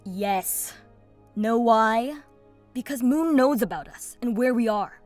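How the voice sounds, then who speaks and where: A woman's voice: fairly high pitch, slow pace, a little mellow, no echo, soft background music. A woman playing a firm, sardonic young female dragon in an audio drama, speaking assertively. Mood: firm, impatient. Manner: assertive, dry sarcasm.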